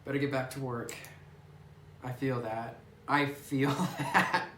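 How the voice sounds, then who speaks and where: A woman's voice: very deep voice, steady tone, slow, a bit bright. A woman casually chatting and giggling as she plays through a game, reading its text aloud, recorded on a phone. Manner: chatty, giggly, muses aloud while playing. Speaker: gaming YouTuber. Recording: roomy phone recording with faint hiss.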